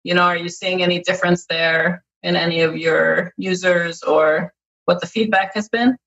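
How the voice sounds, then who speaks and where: Husky woman podcast host. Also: fairly low pitch, fairly even intonation, normal speed, fairly bright tone, lo-fi sound. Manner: thoughtful, rambling with restarts and "like". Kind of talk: casual interview chat. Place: indoor room at home. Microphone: headphones on video call.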